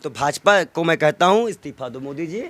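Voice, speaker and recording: A man's voice: fairly high pitch, lively intonation, moderate pace, a bit bright, no room sound. A man giving an impassioned political interview, arguing indignantly with rhetorical questions and emphatic repetition.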